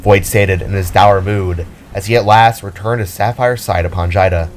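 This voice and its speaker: A man reading a scripted story aloud as a narrator, in a solemn, dramatic way with pauses. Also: low-pitched, steady tone, slight background noise. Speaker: narrator and reader of own audio drama.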